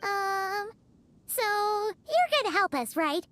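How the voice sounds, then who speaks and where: A woman voicing a tiny, excitable female fairy-like companion in a video game who talks about herself in the third person. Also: extremely high pitch, slow, dry recording, faint music behind, heavily acted. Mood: hopeful.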